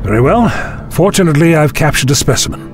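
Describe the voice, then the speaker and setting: A man acting a learned, calmly authoritative time-travelling scientist in a science fiction audio drama, speaking in measured, formal phrases. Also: fairly high pitch, music in the background, close to an everyday voice. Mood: calm, explanatory. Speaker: lead actor in a fan audio drama.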